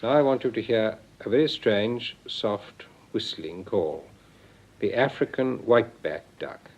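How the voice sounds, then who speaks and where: A man giving measured, explanatory scripted narration for an educational record, heard on a vintage recording. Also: on the low side, expressive, a little mellow. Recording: dull, band-limited vintage record.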